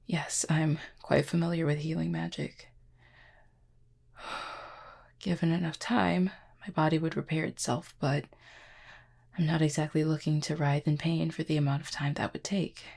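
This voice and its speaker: A woman playing an injured, proud and guarded cupid in an intimate audio roleplay, speaking formally and wryly in a husky, pained voice with weary sighs. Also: low-pitched, level tone, darkish tone, exaggerated voice. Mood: weary, polite. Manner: formal, wry wording with weary sighs and hesitant pauses. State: in pain, strained.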